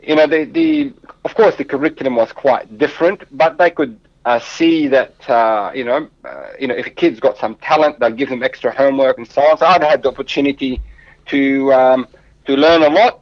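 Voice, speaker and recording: A man's voice: mid-range voice, lively intonation, moderate pace, no echo, dull audio. A man whose first language is Arabic and who grew up in Lebanon, answering interview questions in English with earnest, heartfelt storytelling and frequent restarts. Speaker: guest.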